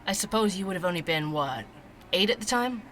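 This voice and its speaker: A man's voice: high-pitched, animated, a little fast, a bit bright, slight background noise. An actor playing a curious, chatty male podcast host, conversational and wry in an explanatory way, in a scripted audio drama. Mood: friendly, teasing. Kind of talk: scripted fiction podcast narration and interviews.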